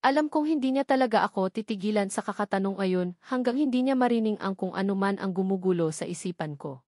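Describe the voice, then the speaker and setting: A woman's voice: medium pitch, lively intonation, fast, warm tone, dry recording. Female audiobook narrator, Filipino accent, scripted first-person romance storytelling, very dry recording.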